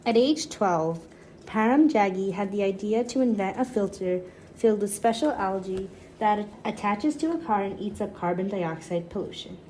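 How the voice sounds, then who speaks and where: A woman's voice: medium pitch, normal speed, a little mellow. Woman reading aloud over background noise. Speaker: reader for a children's reading program. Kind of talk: read-aloud of nonfiction book notes. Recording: clearly noisy background.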